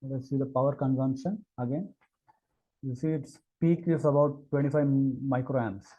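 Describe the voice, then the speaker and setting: A man narrating an unscripted technical demo as an engineer, with halting, hesitant phrasing and repeated words. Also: mid-range voice, mellow, lo-fi sound. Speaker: engineer presenting a product demo.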